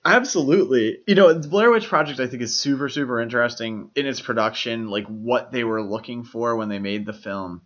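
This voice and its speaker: A man's voice: medium pitch, a little fast, hardly any pauses, fairly bright tone. A man co-hosting a podcast, talking through films in a casual, explanatory way with frequent filler phrases. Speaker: podcast co-host. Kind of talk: casual film discussion. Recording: dull, lo-fi podcast audio.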